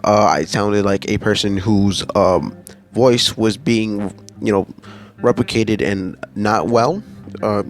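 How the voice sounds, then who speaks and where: A man's voice: fairly low pitch, takes it slowly. A man hosting a gaming channel, casually reading through patch notes and rambling with many fillers and restarts.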